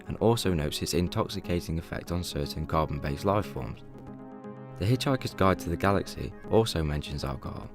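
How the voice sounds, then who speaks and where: A man voices an encyclopaedic narrator for a radio comedy. He is deep and dryly measured, reading scripted narration over music. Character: measured, dry encyclopaedic male narrator, a talking book.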